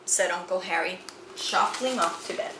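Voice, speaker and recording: A woman in her forties reading a children's picture book aloud in a room with bookshelves, telling the story slowly and expressively. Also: on the low side, roomy sound, noisy background. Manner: expressive storytelling, slow and animated. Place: room with bookshelves and chalkboard, indoors.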